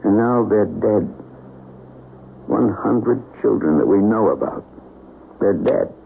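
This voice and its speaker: Guilt-ridden scientist, forties, agitated and halting; seventies radio drama, narrow hissy sound. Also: male voice, on the low side, expressive, gravelly, heavily acted. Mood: bitter remorse. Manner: agitated, halting, self-reproaching. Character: anguished, guilt-ridden chemist in his forties. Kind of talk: 1970s radio drama acting. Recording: tinny, band-limited, noisy old radio recording.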